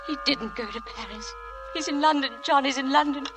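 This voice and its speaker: A woman's voice: high voice, brisk. A woman playing an anxious, genteel young wife, earnest and refined in 1940s radio drama style. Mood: shocked, panicking. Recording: old radio broadcast with music.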